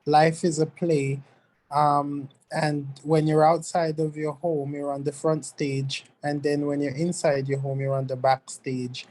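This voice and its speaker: A man from Antigua and Barbuda with an Antiguan accent and a soft voice, speaking as a webinar panelist in a thoughtful, measured way with frequent ums. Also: on the high side, low-quality recording. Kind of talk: panel discussion on a video call. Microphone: video call.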